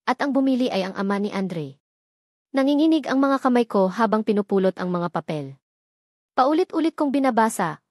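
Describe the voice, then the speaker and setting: A woman's voice: fairly high pitch, no room sound, clean studio sound. A woman reading a dramatic first-person story as a scripted narration.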